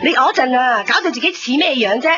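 A middle-aged Hong Kong Cantonese mother, scolding and reproachful. Her fairly high voice swings widely in pitch. The radio drama recording is slightly dull, with music behind her. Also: lively intonation, fast. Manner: reproachful, firing rhetorical questions.

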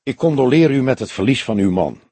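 A man's voice: medium pitch, expressive, dark tone, slightly rough, no echo. A man voicing a weary old police detective who speaks formally and carefully in an audiobook. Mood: solemn. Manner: formal, measured, cautious.

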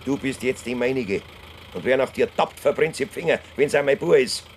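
An actor playing a gruff, jealous middle-aged farmer father in a Bavarian dialect radio drama, blustering and possessive. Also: mid-range voice, fairly quick, close to an everyday voice. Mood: threatening, jealous. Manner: blustering, domineering. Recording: noisy, idling car engine behind. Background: idling car engine.

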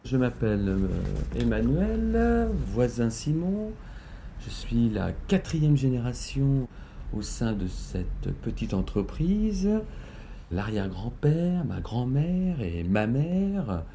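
A man's voice: medium pitch, very animated, slow, mellow, slight background noise. A man, speaking hesitantly as an interviewed shopkeeper. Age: late 30s. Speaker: family shop successor being interviewed. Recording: faint shop ambience.

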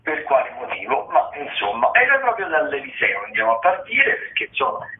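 A man's voice: a little high, huge pitch swings, fairly quick. A man, a theatre critic giving a discursive spoken briefing on radio. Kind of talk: spoken cultural news briefing. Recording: roomy, tinny phone line.

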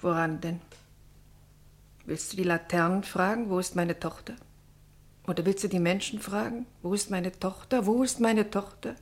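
A woman's voice: medium pitch, steady tone, normal speed, lightly acted. An actress playing a middle-aged pastor's wife grieving for her daughter in a German radio drama, anguished, bitter and brooding. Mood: bitter grief. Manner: anguished, brooding, partly whispered.

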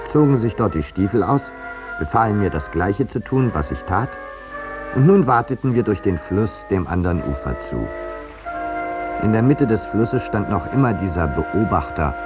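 An actor playing a polite, hesitant and peaceable painter in a 1950s German radio drama. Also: male voice, on the low side, lightly acted. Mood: narrating calmly. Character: mild, peaceable, hapless male landscape painter.

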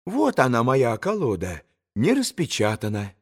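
A man's voice: medium pitch, wildly swooping pitch, measured pace, slightly rough, pitched below the performer's own voice, heavily acted. A man voicing a rude innkeeper in a storybook reading, blunt and taunting, full of accusations and threats. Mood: triumphant accusing.

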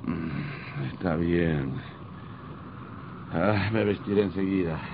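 Deep, creaky-voiced uneasy husband. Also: male voice, slow. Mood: reluctant, sleepy. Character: uneasy, cautious husband in a horror tale. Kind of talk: old radio horror drama acting. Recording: muffled, very noisy old radio recording.

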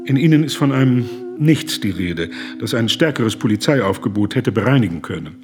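An actor playing an earnest, indignant German humanitarian, speaking formally, deliberately and with moral urgency in a radio play. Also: male voice, mid-range voice, expressive, close to an everyday voice. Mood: firm rebuttal.

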